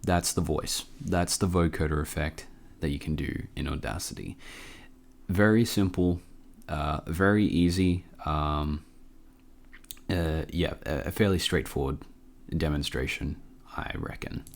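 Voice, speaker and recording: A man hosting a casual software tutorial, informal and a bit hesitant as he thinks aloud. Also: rumbling low, unhurried. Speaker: tutorial host.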